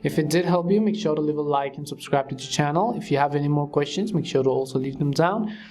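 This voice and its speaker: A man's voice: a little high, fast talker, a little mellow. A man presenting a step-by-step how-to tutorial as voice-over narration. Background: background music with guitar.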